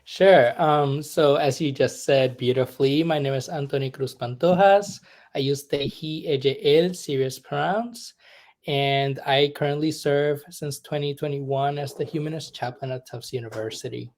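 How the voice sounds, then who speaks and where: A young man from Puerto Rico, a humanist chaplain being interviewed, who talks in a reflective, searching way with many pauses and asides. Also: medium pitch, a little mellow. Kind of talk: conversational interview.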